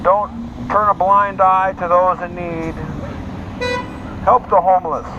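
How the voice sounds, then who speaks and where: High-pitched man exhorting through a megaphone. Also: level tone, slow. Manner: exhorting, emphatic, repetitive slogans. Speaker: homeless advocate, street speaker. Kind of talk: impassioned public advocacy speech with religious appeals. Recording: noisy, dull megaphone sound outdoors with traffic.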